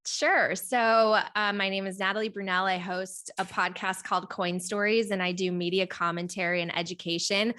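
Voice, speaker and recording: Bright-voiced woman guest, articulate. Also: mid-range voice, fairly even intonation, normal speed, hardly any pauses. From Poland. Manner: articulate and earnest. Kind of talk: interview answers.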